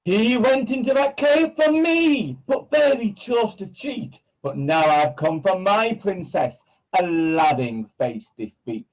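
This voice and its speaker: A man's voice: sits high, expressive, poor audio. A man playing a menacing, gloating sorcerer villain in a rhyming pantomime. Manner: slow, swooping, menacing.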